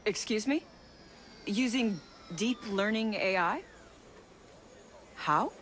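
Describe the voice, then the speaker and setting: A woman's voice: medium pitch, animated, slow, fairly bright tone, slight background noise. A woman playing a skeptical, principled engineer in a video game, speaking in pointed challenges. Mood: wary. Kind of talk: video game cutscene acting.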